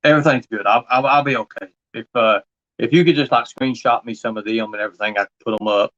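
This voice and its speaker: A man's voice: medium pitch, quick pace, a bit bright, muffled recording. A man livestreaming in an insistent, repetitive, confrontational way. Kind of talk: impassioned monologue to an absent person.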